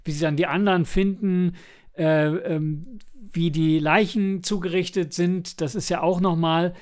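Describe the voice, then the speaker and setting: High-pitched man in casual review chat. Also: steady tone, unhurried, darkish tone. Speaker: podcast co-host and audio drama maker.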